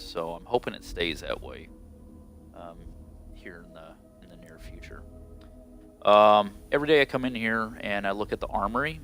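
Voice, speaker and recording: Deep-voiced man, stuffy and nasal. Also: a bit bright. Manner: rambling, unhurried, many ums and restarts. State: slightly stuffy, nasal from a cold. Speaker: gaming video host. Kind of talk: casual gameplay commentary.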